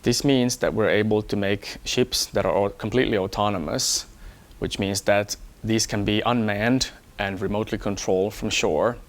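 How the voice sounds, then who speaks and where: A man from Hong Kong, an engineer, talking about himself in a measured, explanatory way for an employee profile. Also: fairly low pitch, fairly even intonation. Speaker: electronics engineer. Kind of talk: first-person employee profile talk.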